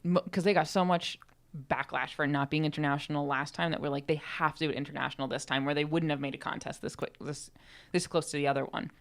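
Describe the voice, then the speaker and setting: A woman co-hosting a podcast, chatting casually and telling stories in a chatty, animated way. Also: sits low, fast. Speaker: podcast co-host.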